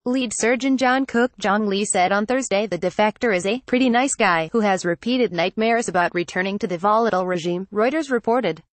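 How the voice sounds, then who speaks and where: A woman reading a scripted news report in an even, flat delivery. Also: mid-range voice, no echo. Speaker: news reader.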